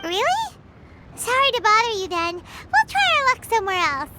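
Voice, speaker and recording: A woman voicing a small, chatty fairy companion in a video game, childlike and animated. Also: normal speed, heavy background noise. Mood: friendly, inquiring. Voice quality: squeaky, childlike. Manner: speaks of self in third person, animated. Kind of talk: video game character dialogue.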